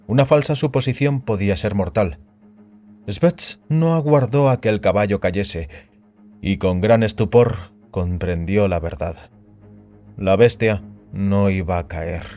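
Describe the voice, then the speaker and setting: A man reading a science fiction story as a scripted, dramatic audiobook narration. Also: fairly low pitch. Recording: music under the voice. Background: background music.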